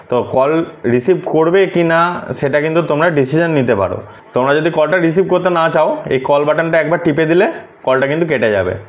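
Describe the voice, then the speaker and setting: Fast-talking man explaining hands-on. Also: a little high, muffled recording. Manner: explanatory, mixing English words into sentences. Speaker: vlogger presenting a product unboxing. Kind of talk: casual hands-on tutorial.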